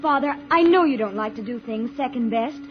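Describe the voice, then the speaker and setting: Actress as high-voiced level-headed rocket crewwoman. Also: expressive, brisk, lightly acted. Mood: concerned. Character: level-headed young woman, scientist's daughter aboard rocket. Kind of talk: 1950s radio serial acting. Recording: dull, noisy old-time radio recording.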